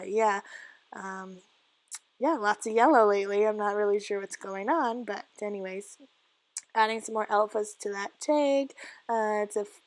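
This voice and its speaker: Husky-voiced woman chatting casually. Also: medium pitch, dry recording. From Canada. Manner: chatty, many "uh" fillers and soft laughs. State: husky, croaky voice. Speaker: scrapbooking vlogger. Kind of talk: casual voice-over narration of crafting. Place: home basement craft area.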